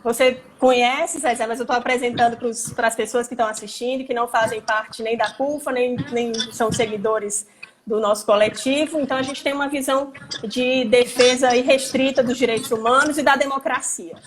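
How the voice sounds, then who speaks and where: A woman with a Ceará accent hosts a casual live stream from her phone, talking in a chatty, lively way with many asides. Her voice sits a little high, with faint noise behind it. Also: fairly quick. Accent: Northeastern Brazilian (Ceará). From Fortaleza, Brazil. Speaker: host, labor prosecutor.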